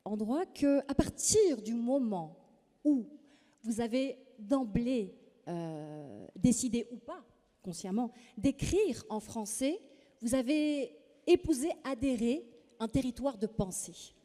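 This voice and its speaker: A woman from Morocco moderating a literary panel, hesitant and halting with many fillers. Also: fairly high pitch, lively intonation, warm tone. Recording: reverberant festival hall.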